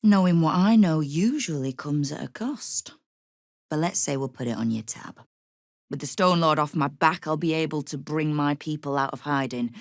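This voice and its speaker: A deep-toned female crime boss from a video game. She is tough and sardonic, giving orders and veiled threats with wry authority. The sound is dry, with no room around the voice. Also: creaky, no room sound. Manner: wry, menacing, commanding. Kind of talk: dramatic video game character dialogue.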